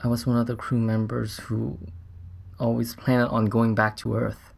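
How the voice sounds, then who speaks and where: An actor playing an earnest, shaken scientist on a Mars mission, recounting events in a halting, reflective way as in a documentary interview. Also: male voice, mid-range voice, completely flat delivery, vocal fry. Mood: somber, reflective.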